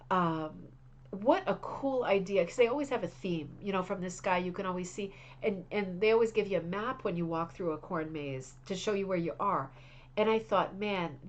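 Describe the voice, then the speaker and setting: A woman hosting a craft club livestream, chatting casually and reading viewer messages with enthusiasm, sounding slightly congested. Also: mid-range voice. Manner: chatty, enthusiastic, frequent restarts and fillers.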